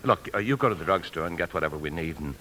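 An actor playing an anxious, evasive husband on the run in a 1950s radio drama, smooth-talking and guarded, who also narrates confessionally. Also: male voice, low-pitched, lively intonation, racing, pushed and bright, a little hiss or hum, poor audio. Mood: evasive, hurried. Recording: 1950s radio broadcast, faint music.

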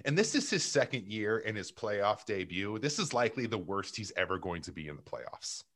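A man's voice: fairly low pitch, moderate pace. A man co-hosting a sports podcast, chatting casually and joking at his own expense while breaking down games. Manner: playful, self-mocking, animated analysis. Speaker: podcast co-host.

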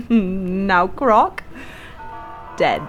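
A woman's voice: mid-range voice, lively intonation, extremely slow, soft background music. A woman playing a gleeful, murderous, centuries-old witch in a radio drama, mocking and theatrical as she savors her words. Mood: smug, confessing.

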